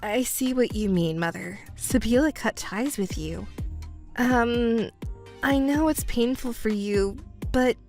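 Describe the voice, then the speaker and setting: Casting: woman to play young stepdaughter, self-possessed and quietly reproachful, measured and firm, family drama. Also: a little high, soft background music. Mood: firm, calm. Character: composed, coolly reproachful young adult stepdaughter. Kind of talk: acted dialogue in a narrated family drama.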